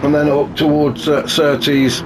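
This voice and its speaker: Man, race commentary, gravelly and snuffly from a cold, slightly high voice, engine noise behind. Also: flat delivery, a little slow. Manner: chatty and wry, many fillers. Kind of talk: live sim racing commentary. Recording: noisy with car engine sounds behind.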